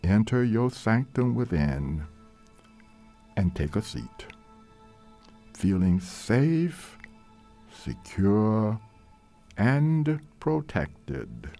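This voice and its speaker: Male meditation leader, extremely unhurried, with rising and falling intonation, gentle and deliberate over background music. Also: fairly low pitch, very animated, gravelly. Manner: gentle, deliberate, measured phrasing with long pauses. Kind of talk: slow guided meditation narration. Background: soft meditation music.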